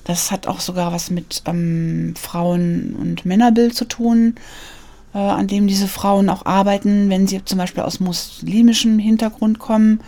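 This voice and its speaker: Woman guest in an interview, mellow warm tone, earnest and hesitant, thinks aloud. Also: medium pitch, flat delivery, measured pace. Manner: earnest, searching for words with many fillers. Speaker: author interviewed about portraits of immigrant women. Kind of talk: thoughtful interview answers. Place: studio, seated at a mic.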